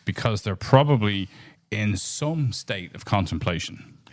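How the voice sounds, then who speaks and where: Low-voiced Irish man chatting on a podcast. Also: lively intonation, a little slow, dry recording. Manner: reflective, thoughtful, frequent restarts. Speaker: podcast co-host. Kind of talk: casual podcast chat.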